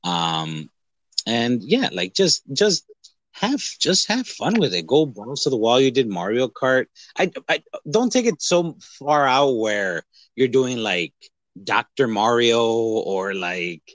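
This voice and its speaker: Rambling, animated man chatting about movies. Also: mid-range voice, slow, no room sound. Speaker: podcast co-host. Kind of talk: casual movie chat.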